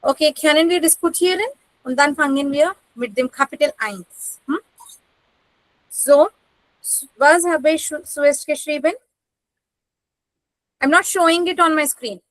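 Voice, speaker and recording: Female language teacher, Indian accent, high pitch, dry close recording, prompting style. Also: a little slow, long pauses, no echo, low-quality recording. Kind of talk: teaching an online group class.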